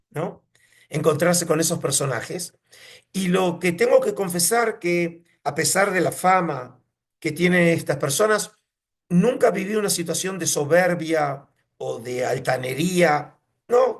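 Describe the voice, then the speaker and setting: Argentine guy, curator type, voice a bit high, telling anecdotes in an interview, checks in with little questions. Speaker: museum curator, interview guest.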